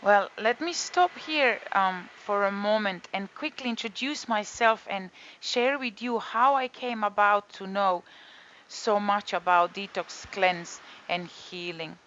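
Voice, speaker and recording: A woman with a Croatian accent speaks at a middle pitch, earnest and steady as she introduces a yoga and detox course. She keeps returning to her key phrases and fills gaps with soft ums. The recording is slightly dull and lo-fi. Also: unhurried.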